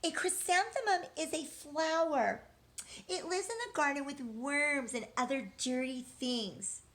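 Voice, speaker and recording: Woman putting on a smug, high-voiced schoolgirl know-it-all. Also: measured pace, fairly bright tone. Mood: scornful.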